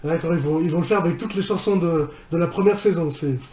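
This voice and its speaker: Male reaction host, higher-pitched and soft-edged, halting and informal, recorded in a roomy space. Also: muffled recording. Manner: halting, repeats and rephrases himself. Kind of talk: casual unscripted reaction commentary. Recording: roomy echo, music behind.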